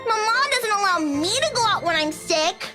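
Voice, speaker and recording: A woman's voice: very high-pitched, animated, moderate pace. A woman voicing a cheerful, chatty little schoolgirl in a visual novel game, childlike and bubbly. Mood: chatty, innocent. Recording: game music behind the voice.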